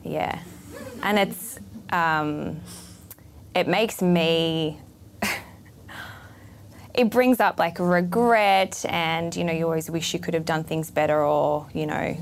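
Animated woman, gravelly low voice. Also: slow, pauses often, a little hiss or hum. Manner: animated, chatty, many fillers and laughs. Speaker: interviewer. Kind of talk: casual conversation. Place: event venue.

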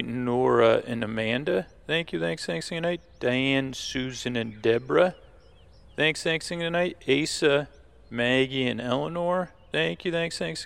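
A man with a creaky voice hosting a sleep podcast, giving low-key, rambling thanks and announcements to listeners. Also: fairly bright tone. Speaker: podcast host.

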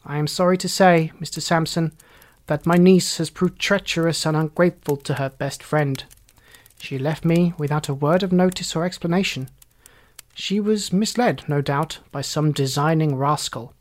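A narrator playing an artful gentleman villain who speaks formally, measured and coolly indignant. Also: medium pitch, close to an everyday voice. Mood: smooth, aggrieved.

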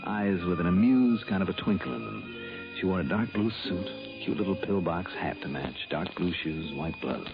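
Radio detective: very deep American male, wry and smooth, swinging intonation, muffled old broadcast. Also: lively intonation, normal speed, over music. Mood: admiring. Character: wry, smooth male insurance investigator, easily smitten. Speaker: lead actor and narrator. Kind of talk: 1960s radio detective drama acting and narration.

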